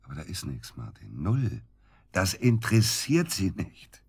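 A man acting a bitter, middle-aged husband in a naturalistic German radio drama, with a husky voice and a blunt, emphatic way of repeating himself. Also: wildly swooping pitch, a little slow, a little mellow, exaggerated voice. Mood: dismissive, sardonic. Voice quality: husky low voice. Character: bitter, hard-drinking husband of middle age, crude and volatile.

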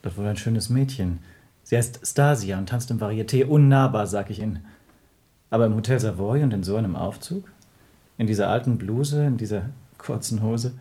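A man's voice: fairly low pitch, normal speed, dark tone, close to an everyday voice. An actor playing a condescending, dandyish young rich man's son in a radio play, with affected politeness. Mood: smug, condescending. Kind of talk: German radio play dialogue.

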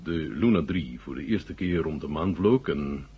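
A male actor playing a genial, sly Soviet marshal in a Dutch radio drama, with a husky voice and deliberate, halting phrasing. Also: a bass voice, lively intonation, full character voice. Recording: muffled old radio recording.

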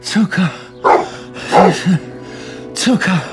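Frail muddled general, halting, very slow, long reverb, loud music behind. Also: male voice, high-pitched. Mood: dazed craving. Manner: halting, wandering speech. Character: frail, confused male general craving sweets. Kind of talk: radio drama acting.

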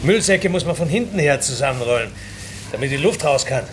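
A man's voice: medium pitch, animated, fairly quick, background noise, natural voice. An actor playing a polite, timid middle-aged male clerk looking for work in a radio play, courteous, deferential and a little hesitant. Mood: deferential, puzzled. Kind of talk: radio drama acting.

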